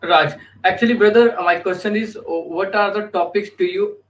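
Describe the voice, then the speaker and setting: A man's voice: high-pitched, moderate pace, a bit bright, low-quality recording. A man whose first language is Hindi, calling in to a live stream and politely asking hesitant, rephrased questions.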